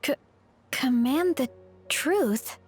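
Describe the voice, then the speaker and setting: A woman's voice: high-pitched, expressive, extremely slow, full character voice. A woman voicing a sincere, friendly young female adventurer in a video game, speaking casually with some hesitancy. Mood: hesitant, worried. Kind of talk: video game character acting. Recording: light game music behind voice.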